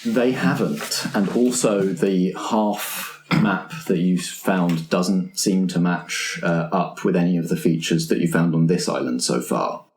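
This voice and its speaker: A man with a fairly low voice narrates a tabletop roleplaying game. He improvises in halting phrases. The room adds a clear echo around his voice. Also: darkish tone, soft background music. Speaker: game master. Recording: roomy, echoey tabletop recording.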